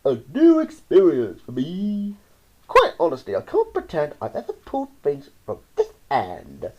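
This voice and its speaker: A woman's voice: low-pitched, huge pitch swings, moderate pace, slightly rough, pitched above the performer's own voice, exaggerated voice, rough recording. A woman voicing a gleeful, brash and pompous male dentist in a theatrical verse story.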